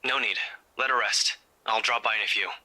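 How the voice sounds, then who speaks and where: Sharp, husky, composed young man. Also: on the low side, fairly quick, rough recording. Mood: guarded. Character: composed, guarded young man, dry with friends. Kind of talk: visual novel voice acting.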